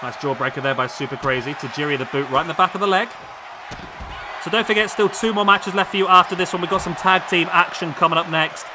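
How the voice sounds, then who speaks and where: A man commentating on a wrestling video game, losing his voice, chatty and excitable as he calls the action. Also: fairly high pitch, fast. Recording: loud game crowd noise behind. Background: video game crowd and arena sound.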